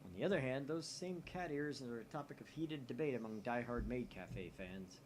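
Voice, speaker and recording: A man's voice: medium pitch, expressive, normal speed, a little hiss or hum. A young man hosting a gaming video, reading visual novel narration aloud with wry asides. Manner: wry, reads narration with commentary. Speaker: let's-play host.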